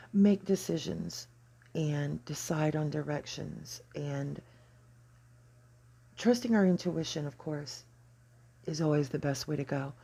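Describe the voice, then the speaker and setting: An astrology channel host explains a card reading at a deliberate pace, pausing between phrases. The voice is mellow and husky, with a gravelly creak running through it. The delivery is measured and explanatory. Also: mid-range voice.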